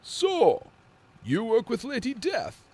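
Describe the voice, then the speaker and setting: A chatty, admiring Soviet driver, played by a male actor. The voice is high and animated, in radio-play style. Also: slow, very noisy, full character voice. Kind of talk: radio drama acting.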